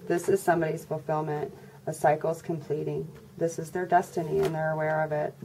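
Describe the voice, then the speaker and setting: A congested woman giving a casual tarot reading to her viewers in a flat, monotone way. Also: fairly quick, gravelly. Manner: monotone, low-energy delivery. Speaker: tarot reader. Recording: noisy recording with birds chirping. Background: birds and background noise.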